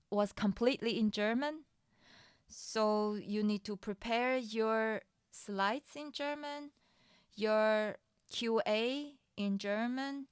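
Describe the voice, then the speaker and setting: A woman's voice: mid-range voice, clear, resonant tone, no echo, clean studio sound. A woman whose first language is Mandarin, chatting candidly in English about her own experience, often restarting phrases. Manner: unhurried and candid, with frequent restarts and light laughs. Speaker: streamer sharing her startup funding experience. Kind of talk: casual chat.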